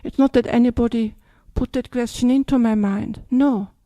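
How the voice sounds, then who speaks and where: A woman's voice: a little high, fairly even intonation, moderate pace, mellow, no room sound. An older woman, a Buddhist nun from Austria with an Austrian German accent, giving a chatty dharma talk that keeps checking in with "yeah?" and "yes?".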